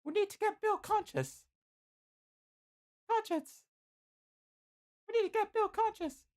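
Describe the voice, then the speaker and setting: One narrator doing a very high, shrill pleading young heroine. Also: a little mellow. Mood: urgent, commanding. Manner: shrill, drawn-out, urgent pleading. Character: Licia, distraught young heroine pleading at a lover's side. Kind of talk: storybook-style character voice in narrated adventure.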